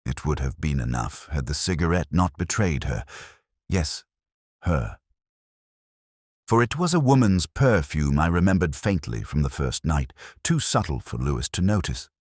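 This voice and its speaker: A man playing a weary, melancholy English police detective, narrating a radio mystery in a measured, literary, introspective manner. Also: a bass voice, expressive, a little mellow, slightly rough, no room sound, studio quality, full character voice. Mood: sombre, reflective. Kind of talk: slow literary first-person detective narration, radio drama.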